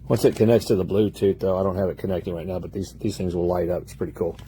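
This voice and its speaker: A man's voice: low voice, fairly even intonation, fast talker, dark tone, slight background noise. A man giving a casual, plain-spoken product demonstration. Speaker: product reviewer.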